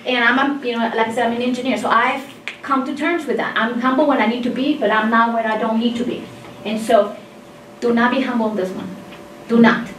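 A woman in her fifties from Mexico speaks up from the audience of a seminar, emphatic and insistent, driving her points home. Her voice sits a little high and reaches the camera from a distance. The room gives it a roomy echo with a faint hiss behind. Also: steady tone, brisk, fairly bright tone. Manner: emphatic, insistent, gestures while talking. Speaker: engineering faculty member in audience. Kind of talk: impassioned audience comment in discussion. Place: seminar room with tables, indoors. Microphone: far room camera mic.

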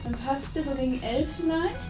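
A young woman with a high voice chats casually with her colleagues. She is picked up at a distance in an echoey room. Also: brisk, over music. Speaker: colleague helping with the prank. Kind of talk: casual chat. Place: studio office kitchen, indoors. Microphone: camera across the room.

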